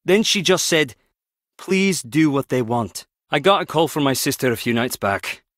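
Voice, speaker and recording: An actor playing a wry, guarded skip tracer in a video game, teasing yet protective, speaking in a clipped, conversational way. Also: male voice, fairly high pitch, animated, moderate pace, fairly bright tone, dry recording, studio quality. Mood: serious. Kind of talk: video game character dialogue.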